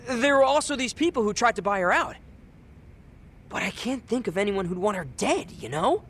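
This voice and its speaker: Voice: mid-range voice, expressive, normal speed, fairly bright tone, slight background noise, lightly acted. An actor playing a cheeky but earnest teenage boy in a video game dub, talking in offhand, youthful slang. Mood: earnest, worried.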